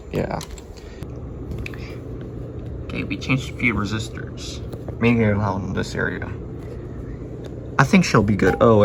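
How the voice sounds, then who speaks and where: A man mutters his way through a hands-on repair, musing aloud in a casual, sweary way. His voice is quite creaky and he talks slowly, with clear noise behind him on a homemade video. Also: on the low side. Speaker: hobbyist radio repairer filming his work.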